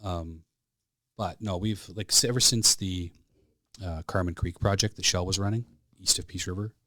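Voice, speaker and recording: A man's voice: low voice, steady tone, moderate pace, fairly bright tone, no room sound. A plain-spoken man in his fifties from Alberta with a Canadian accent, chatting casually and telling stories as a podcast guest in a studio. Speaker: podcast guest, flight service specialist. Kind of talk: casual conversational storytelling.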